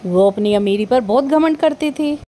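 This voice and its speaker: A woman's voice: on the high side, lively intonation, normal speed, warm tone. A woman narrating a scripted storybook tale.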